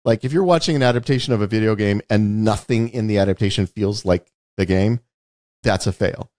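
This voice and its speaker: A man's voice: fairly low pitch, a little fast, a little mellow, no echo, studio quality. A man hosting a podcast, talking through a film in an emphatic, explanatory way and often checking in with "right?". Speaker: podcast host. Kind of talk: conversational film analysis.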